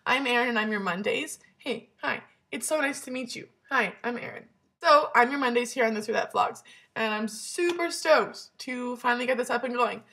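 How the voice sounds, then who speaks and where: A woman's voice: a little high, moderate pace, sharp tone. Casting: young woman, eighteen, from Canada, chatty vlogger who rambles and corrects herself. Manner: bubbly, rambling, lots of ums and self-corrections. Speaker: vlog channel host. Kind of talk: casual chatty vlog.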